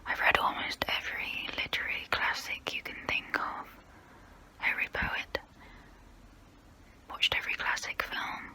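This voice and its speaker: A woman voicing a haughty immortal vampire who looks down on humans, in a breathy close-up whisper for an ASMR role play. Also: sharp tone. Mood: boastful. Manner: slow, deliberate, condescending.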